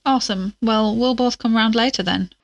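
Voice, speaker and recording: A woman's voice: on the high side, normal speed, no room sound, highs cut off, close to an everyday voice. A woman acting as a wry, impatient young investigator in an audio drama, blunt and teasing with her friends. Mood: brisk, wry.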